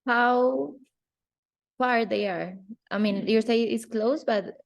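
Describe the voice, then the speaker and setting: A woman's voice: on the high side, takes it slowly, creaky. A woman interviewing a student, asking friendly, curious questions.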